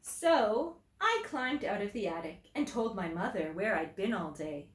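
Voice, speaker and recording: A woman teacher reading a picture book aloud to children, explaining simply and deliberately and putting questions to her listeners. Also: mid-range voice, big pitch swings. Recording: roomy echo, distant microphone.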